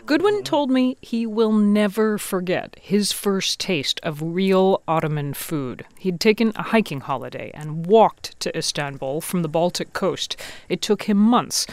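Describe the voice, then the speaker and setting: A woman radio reporter narrating a scripted feature in a crisp, wry way. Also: mid-range voice, animated, measured pace, no echo.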